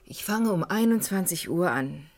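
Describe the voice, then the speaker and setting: An actress playing a guarded, reserved student under questioning in a German radio crime play, answering tersely. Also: female voice, fairly low pitch, animated, normal speed. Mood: defensive. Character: guarded female art history student and nightclub cloakroom worker.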